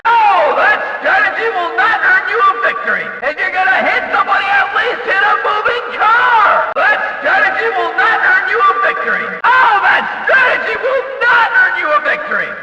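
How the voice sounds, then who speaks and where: An actor playing a rowdy, sarcastic race announcer in a video game, calling hits in excited, mocking, exclamatory sports commentary. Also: male voice, very high voice, normal speed, few pauses, heavy reverb, long reverb tail, recorded from a distance, highs cut off, a faint crowd, heavily acted. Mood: exasperated, scolding.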